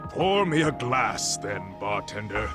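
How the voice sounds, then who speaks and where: An actor playing a suave, pompous gentleman customer in a video game cutscene, formal and theatrical. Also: fairly low pitch, very animated, takes it slowly, music in the background. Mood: smugly polite.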